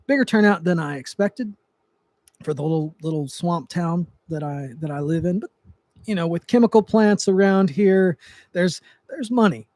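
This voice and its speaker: High-voiced man rambling on a livestream. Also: darkish tone, no echo. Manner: rambling, with frequent restarts and fillers. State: voice getting dry. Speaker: livestream host, trigger tuner. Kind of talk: casual livestream chat. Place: his home, seated in a chair.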